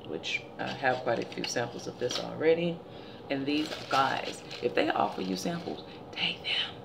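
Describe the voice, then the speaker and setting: A woman in her forties speaks in a deep, gravelly voice that sounds a little tired. She chats casually and tells stories as a vlogger in her living room. A steady hiss sits under the recording. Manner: chatty, animated storytelling. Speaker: beauty vlogger. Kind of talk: casual product haul chat. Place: living room by window blinds, indoors.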